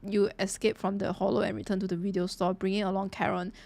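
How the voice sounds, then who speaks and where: A woman live-reacting to a game story, expressive, emotionally invested and slangy, sometimes reading narration aloud. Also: medium pitch, quick pace, vocal fry.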